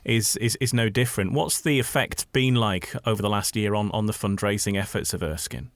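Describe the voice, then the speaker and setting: Lowish-voiced male radio interviewer, dry sound. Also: fairly quick, no echo.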